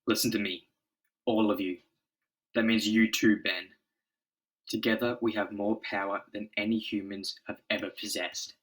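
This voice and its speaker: A man's voice: fairly low pitch, flat delivery, normal speed, fairly bright tone, low-quality recording. A man voicing an earnest, commanding scientist hero in a melodramatic comic-book reading.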